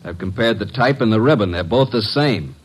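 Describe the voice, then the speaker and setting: An actor playing a tough, brash detective's assistant in a classic radio drama, snappy and wisecracking. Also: male voice, fairly low pitch, lively intonation, a little fast, breathy edge. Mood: brisk, confident. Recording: noisy old radio broadcast recording.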